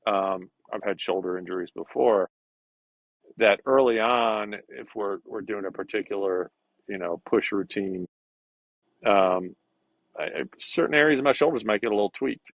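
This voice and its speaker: A man in his fifties talking as an interview guest, in a halting conversational way with repeated words. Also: on the low side, dry recording. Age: 50s. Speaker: podcast guest. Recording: dull lo-fi call audio.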